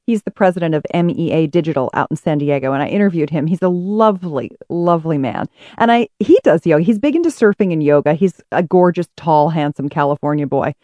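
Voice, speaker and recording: A woman's voice: deep voice, brisk, hardly any pauses, warm tone, dry recording, highs cut off. A middle-aged woman hosting a casual interview, chatty and playful. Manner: chatty, playful, laughs easily. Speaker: interview host.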